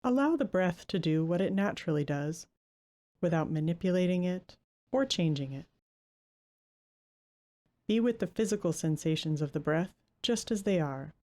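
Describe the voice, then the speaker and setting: Female meditation guide, lowish and very soft-edged in tone, gentle, unhurried delivery with generous pauses. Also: expressive.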